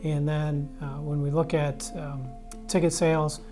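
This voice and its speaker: A man in his fifties, a high school principal, speaking in a measured, formal way in a documentary interview. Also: level tone. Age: 50s. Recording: guitar music playing clearly under the voice.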